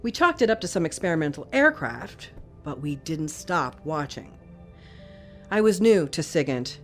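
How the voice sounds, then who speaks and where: Authoritative, expressive female intelligence chief. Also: low-pitched. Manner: direct, commanding. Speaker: actor in an audio drama. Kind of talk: dramatic audio drama acting.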